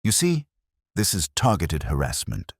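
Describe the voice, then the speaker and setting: A man's voice: low-pitched, wildly swooping pitch, a little slow, gravelly, slightly rough, no room sound, studio quality, exaggerated voice. A man voicing an indignant village committee woman, haughty, dramatic and clipped, in a mystery story.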